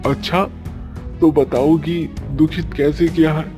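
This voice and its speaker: A man's voice: fairly high pitch, fairly even intonation, a little slow, over music, natural voice. A man voicing a commanding king in a cartoon folk tale, speaking in a formal, questioning, dramatic way. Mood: demanding, questioning. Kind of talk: cartoon story dialogue.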